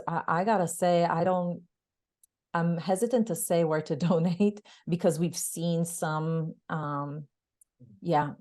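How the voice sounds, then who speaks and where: A woman from Israel talks earnestly on a webinar video call. Her voice sits low and she speaks in quick bursts. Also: darkish tone. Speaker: guest expert. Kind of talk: webinar talk.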